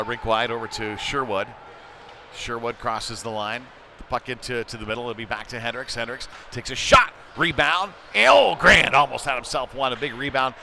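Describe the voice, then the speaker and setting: A man's voice: mid-range voice, bright, forceful tone. A man calling live ice hockey play by play in short, clipped phrases. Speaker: play-by-play commentator. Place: indoor ice rink. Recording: noisy rink ambience behind voice.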